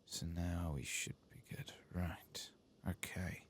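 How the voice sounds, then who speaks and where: Low, soft-spoken man murmuring ASMR commentary. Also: flat delivery. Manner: unhurried muttering to himself. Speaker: host of an ASMR game playthrough.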